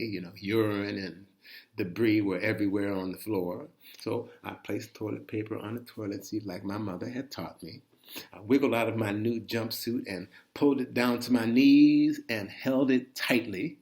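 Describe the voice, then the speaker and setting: A man reading a first-person story in a conversational, folksy way, animated and full of asides to the listener. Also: fairly low pitch. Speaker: reader of a personal chronicle.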